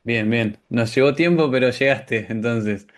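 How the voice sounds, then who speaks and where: A man's voice: mid-range voice, a little slow. A man with a Rioplatense Argentine accent interviewing a guest conversationally, feeling out long questions and rephrasing as he goes. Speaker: interviewer, coach. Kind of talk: conversational client testimonial interview.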